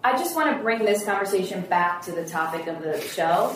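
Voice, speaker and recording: A woman's voice: mid-range voice, lively intonation, a little fast. Distant woman in a very echoey room. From United States. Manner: dry, steering the talk. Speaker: podcast co-host. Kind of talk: casual group chat. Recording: distant, very echoey, faint noise.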